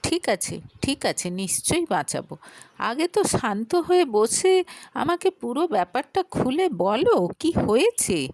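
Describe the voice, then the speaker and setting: Woman acting caring, composed lady, animated pitch. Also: measured pace, no room sound, exaggerated voice. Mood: soothing. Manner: soft, reassuring, questioning. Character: kind, composed, protective adult woman. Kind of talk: dramatic audio storytelling.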